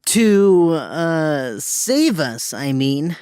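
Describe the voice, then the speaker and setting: A man's voice: on the high side, big pitch swings, extremely slow, a little mellow, clear, resonant tone, no room sound, studio quality, heavily acted. A man playing an earnest, slightly awkward teenage boy in an audio drama, hesitant and friendly.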